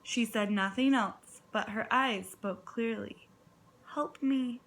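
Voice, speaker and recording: A woman, a kindergarten teacher, reading a storybook aloud expressively, with dramatic pauses and encouraging questions for young listeners. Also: mid-range voice, a bit bright, slight background noise, low-quality recording. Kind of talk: children's read-aloud with prompting questions.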